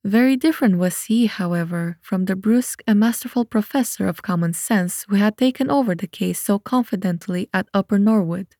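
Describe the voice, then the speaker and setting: A woman narrates a story in a scripted audiobook style. Her voice sits at a medium pitch and is recorded very dry, with no room sound. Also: fairly quick, few pauses, mellow, dry recording.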